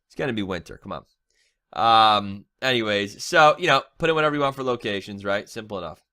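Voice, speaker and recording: A man's voice: lowish voice, lively intonation, a little fast, sharp tone, clean tone. A young man with a cold, sounding a little nasal, giving a casual, chatty screen-share tutorial and often checking in with "right?". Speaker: online marketing trainer.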